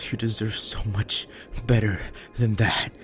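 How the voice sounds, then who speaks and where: A man playing a guilt-ridden, self-loathing young survivor in an emotional audio drama, with a halting, bitter delivery. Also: on the low side, little pitch movement, natural voice. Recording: prominent music behind the voice.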